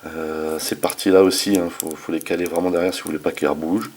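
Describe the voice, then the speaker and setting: A man's voice: deep voice, steady tone, quick pace, a little mellow, a little hiss or hum. A man hosting a casual hands-on toy review, talking informally with slangy enthusiasm. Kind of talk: casual hands-on product demonstration.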